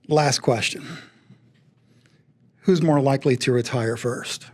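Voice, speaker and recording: A man's voice: medium pitch, slow. A man in his fifties, directing an office podcast, asking quiz questions and making dry, wry quips. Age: 50s. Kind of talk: casual podcast banter.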